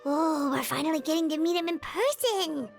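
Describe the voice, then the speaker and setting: Squeaky, chirpy little fairy girl. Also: moderate pace, vocal fry. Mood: excited. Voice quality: squeaky, childlike. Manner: perky, eager, exclamatory. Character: Paimon, tiny chirpy floating fairy girl companion. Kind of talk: anime-style video game voice acting. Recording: music behind the voice.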